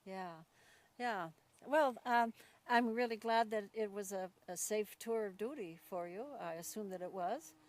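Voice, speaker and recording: A woman's voice: medium pitch, unhurried, no echo. A woman hosting a casual, chatty interview in an informal, folksy and encouraging manner. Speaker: host introducing a new ranch worker.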